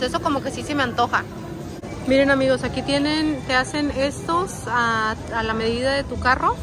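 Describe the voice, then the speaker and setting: A woman talks through a phone camera as she strolls an outdoor market. Her voice sits a little high. She chats easily with her viewers, and crowd noise and music carry on behind her. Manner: chatty, addresses viewers as friends. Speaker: vlogger. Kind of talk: casual walking tour commentary. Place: large outdoor swap meet. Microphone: phone camera held while walking. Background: crowd chatter and music at the market.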